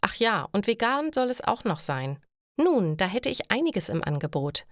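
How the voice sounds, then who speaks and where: A woman's voice: mid-range voice, sing-song, moderate pace, no room sound, clean studio sound, natural voice. A woman voicing a friendly, enthusiastic female bakery shop assistant with eager sales patter, in a storybook lesson. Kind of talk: storybook dialogue in a language lesson.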